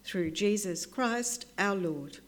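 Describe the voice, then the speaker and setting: A woman leads a congregation in prayer at a very slow, unhurried pace. Her recitation is solemn and prayerful, with phrases spoken one at a time. Her pitch is ordinary for a woman. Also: lively intonation. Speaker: service leader. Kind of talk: leading liturgical prayers.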